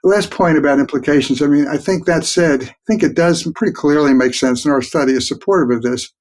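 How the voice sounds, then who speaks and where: Measured man explaining his research. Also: a little high, a little mellow. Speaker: guest expert, physician researcher. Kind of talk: reflective interview answers.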